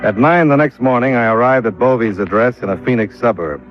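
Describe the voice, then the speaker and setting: An American actor playing a wry, steady private investigator in a vintage radio drama, talking and narrating in a measured, dry, matter-of-fact way. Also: male voice, mid-range voice, close to an everyday voice. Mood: brooding narration. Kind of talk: 1950s radio drama acting and first-person narration. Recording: muffled vintage radio recording with music.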